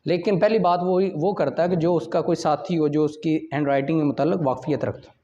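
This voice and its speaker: A man's voice: fairly high pitch, fairly even intonation, brisk, warm tone. A man with a Pakistani accent teaching law in a classroom lecture style, explaining point by point and mixing in English terms. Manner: explanatory, checks in with short questions, switches between English and Urdu. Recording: dull, lo-fi audio.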